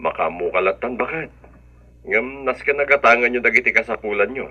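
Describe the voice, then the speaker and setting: A man's voice: mid-range voice, brisk, dull audio, close to an everyday voice. An actor playing a caring husband and father in an Ilocano radio drama, affectionate and earnest.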